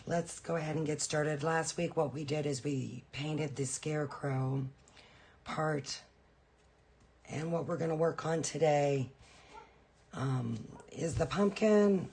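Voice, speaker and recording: A woman with a raspy, hoarse voice from a cold, hosting a casual live painting tutorial and chatting with viewers. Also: low-pitched, darkish tone, slight background noise. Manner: chatty, greets viewers by name.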